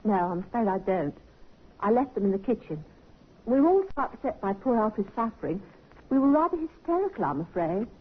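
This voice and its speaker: An actress playing a distraught young widow, tearful and stammering, in a 1950s radio drama. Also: female voice, mid-range voice, big pitch swings, fairly quick, breathy edge. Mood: nervous. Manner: stammering, breathless pleading. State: tearful, near hysterical. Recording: tinny old radio broadcast with faint hiss.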